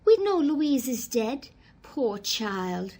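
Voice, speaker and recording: A woman playing an anxious, proper high-society lady in a radio mystery play, with a formal, theatrical manner. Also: sing-song, takes it slowly, darkish tone, exaggerated voice. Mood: anxious, indignant. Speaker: actor in an amateur radio play. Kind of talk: radio mystery drama acting.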